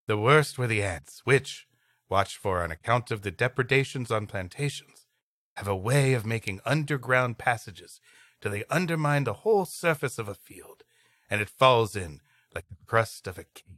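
Male podcast co-host, playful story reader, bright and animated, dry recording. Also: medium pitch, moderate pace, dry recording. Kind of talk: reading an old adventure story aloud with comic banter.

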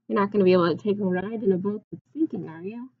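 A woman in her twenties reading a picture book aloud like a teacher, expressively, pausing to put simple questions and explanations to young children. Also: mid-range voice, phone-quality sound. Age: 20s. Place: small classroom, indoors.

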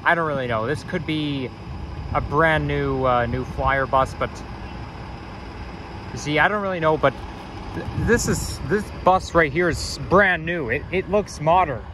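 Male walking vlogger, slightly high voice; rambling, self-correcting chatter, outdoor street noise behind. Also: unhurried. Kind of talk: casual walking vlog commentary. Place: outdoors on city sidewalks near traffic.

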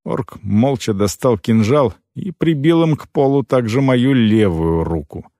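A man with a mid-pitched voice narrates a fantasy audiobook in the first person. His delivery is scripted yet expressive and dramatic, telling the story as the hero. The sound is very dry, with no room around the voice. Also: unhurried, no echo.

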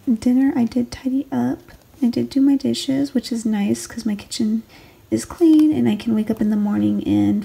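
Young mom vlogger, raspy and gravelly, a little high, soft rambling tone. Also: female voice. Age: 20s. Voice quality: raspy, late-night voice. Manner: rambling, self-correcting, soft. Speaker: planner vlogger, stay-at-home mom. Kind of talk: casual late-night planner walkthrough. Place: home desk, filmed late at night. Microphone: overhead camera on the desk.

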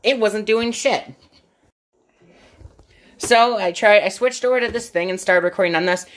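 A woman's voice: medium pitch, moderate pace. A woman talking informally and with exasperation in a rambling hands-on vlog, with some mild swearing. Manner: informal, exasperated, mildly profane. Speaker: video maker. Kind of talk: casual rambling vlog, narrating a hands-on product test. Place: indoors in a home kitchen area.

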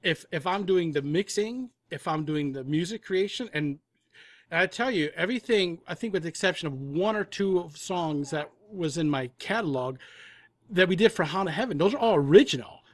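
A man's voice: a little high, expressive, normal speed, no echo. A man giving conversational interview answers as a guest producer, explaining things at length in rambling detail. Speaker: audio drama producer and composer, guest.

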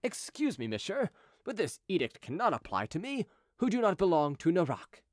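A courteous gentleman fugitive, acted out by a male narrator in storybook style. He is persuasive and evasive, with a raised, animated pitch and a dry, close sound. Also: moderate pace, no room sound. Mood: polite insistence. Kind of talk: audiobook character dialogue.

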